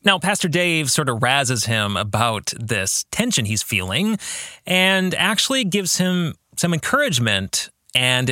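A man's voice: medium pitch, sharp tone, no echo, clean studio sound. A man hosting a podcast, speaking in an earnest, reflective way and often putting rhetorical questions to the listener. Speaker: podcast host. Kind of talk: reflective book discussion addressed to listeners.

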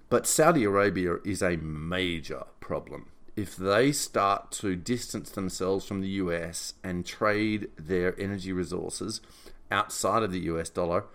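A man giving informal solo political commentary in a deliberate, emphatic and opinionated way. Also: low-pitched. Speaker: podcast host and writer summarizing own article.

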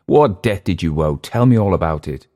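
A low-voiced, world-weary jungle settler, played by a male narrator. He is domineering and mocking, leaning on his words with scornful, teasing weight, as in an audiobook adventure. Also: animated, normal speed, dark tone. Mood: jovial, insistent. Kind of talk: audiobook character dialogue.

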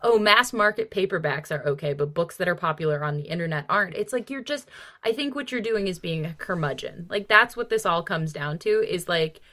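A woman's voice: lowish voice, animated, fairly quick, sharp tone. A woman co-hosting a podcast, discussing books casually and with opinions, talking in an emphatic, exasperated way and swearing freely. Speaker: podcast co-host.

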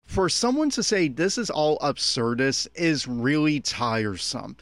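A man's voice: a little high, lively intonation, measured pace, a bit bright, dry recording, clean studio sound. A man giving an unscripted running commentary in a critical, exasperated, opinionated manner. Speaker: commentator on an anime episode.

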